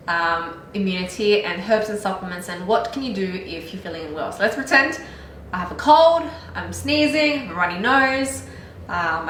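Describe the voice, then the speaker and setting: Host: woman, bright and upbeat, echoey room, distant mic. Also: medium pitch, a little hiss or hum. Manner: upbeat, with quick backchannel agreement. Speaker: host, doctor. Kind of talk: casual health chat.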